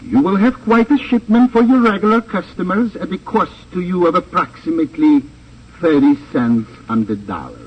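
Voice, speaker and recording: An actor playing a smug, suavely menacing crime boss with a pompous, precise delivery in a 1950s radio drama. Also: male voice, high voice, animated, normal speed, slightly rough. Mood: smooth, persuasive. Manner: pompous, over-precise, relishing his words. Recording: dull, noisy old radio transcription.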